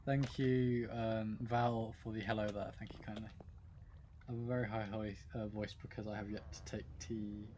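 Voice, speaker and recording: A man's voice: fairly low pitch, flat delivery, moderate pace, darkish tone, a little hiss or hum. Chatty British man streaming a game. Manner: chatty, greets viewers by name, goofy. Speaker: livestream gamer. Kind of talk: casual live-stream chat while gaming.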